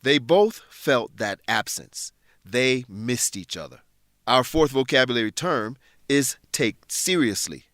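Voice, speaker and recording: A man narrating a scripted English lesson in a deliberate, instructive way. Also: mid-range voice, expressive, fairly bright tone, dry recording, studio quality.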